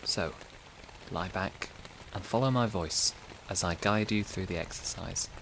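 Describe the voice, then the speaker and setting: A man hosting a guided sleep meditation podcast, giving soothing, gentle, unhurried instructions. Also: low-pitched, vocal fry, slight background noise, low-quality recording.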